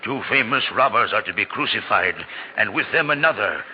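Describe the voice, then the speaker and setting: A man's voice: on the low side, animated, fairly quick, slightly rough. An actor playing a plain-spoken townsman of ancient times, emphatic and archaic, in a 1940s radio drama. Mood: grave, emphatic. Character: plain-spoken townsman of ancient Jerusalem sharing grim news. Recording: muffled 1940s radio, faint noise.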